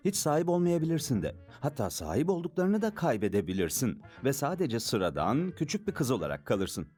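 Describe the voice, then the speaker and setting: An actor playing a gentle, awkward, middle-aged scientist father in a cartoon, kindly and earnest. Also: medium pitch, wildly swooping pitch, a little fast, breathy edge, faint music behind.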